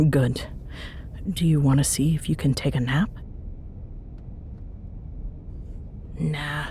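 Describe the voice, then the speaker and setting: A woman acts out a young tsundere ghost hunter in an intimate audio roleplay. Her voice sits a little low but swings widely in pitch as she teases and bosses with sarcastic fondness. A faint vehicle-like rumble runs underneath. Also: a little mellow. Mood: fond, sarcastic banter. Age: young adult. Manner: sarcastic, teasing, foul-mouthed banter. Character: young tsundere ghost-hunting woman, teasing, bossy, secretly fond. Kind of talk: audio roleplay spoken to a listener.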